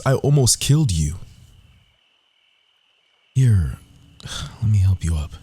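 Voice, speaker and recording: Man acting a low, husky, breathy rogue assassin. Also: no echo, exaggerated voice. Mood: stunned, flustered. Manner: soft, breathy, theatrical, often dropping to whispers. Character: sly, cocky male rogue assassin for hire. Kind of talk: scripted ASMR fantasy audio roleplay.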